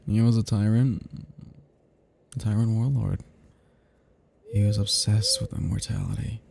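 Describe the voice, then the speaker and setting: A man playing a seductive, menacing and possessive vampire in an intimate roleplay ASMR monologue, speaking in soft murmurs with a slow, teasing manner. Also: a little hiss or hum, full character voice. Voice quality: low, soft, breathy murmurs dropping into whispers. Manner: teasing, slow, lingering, chuckling between phrases.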